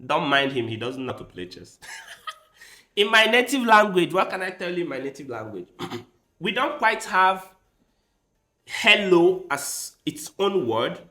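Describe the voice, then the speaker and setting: Slow-talking, lively chess streamer man. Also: high voice, reverberant. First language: Igbo. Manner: thinks aloud while playing, with quick bursts of excitement. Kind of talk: casual live-stream commentary.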